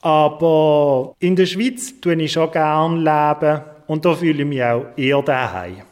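A man's voice: a little high, measured pace. A man, a guest giving plain, matter-of-fact answers in a casual interview. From Switzerland. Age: 20s.

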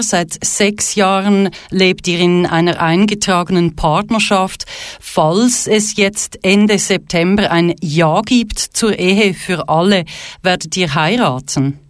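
A woman asking questions as a radio interviewer, deliberate in her manner. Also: mid-range voice, no echo, studio quality.

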